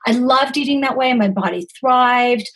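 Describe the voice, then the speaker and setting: Female guest, 40s, raised pitch with some rasp, conversational personal storytelling. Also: moderate pace, fairly bright tone. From born in Greece, grew up in the United States. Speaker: podcast guest, holistic nutritionist.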